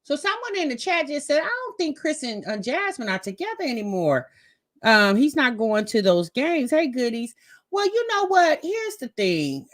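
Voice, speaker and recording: A woman's voice: high-pitched, sing-song, moderate pace. An American woman giving casual, gossipy reaction commentary in a sassy, conversational way. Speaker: host reacting to a family vlog.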